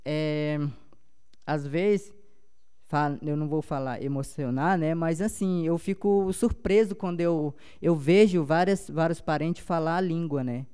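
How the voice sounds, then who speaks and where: A young man with a Paumari accent, a native Paumari speaker, giving a halting talk at a panel with many restarts. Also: on the high side, fairly even intonation, mellow, clean tone.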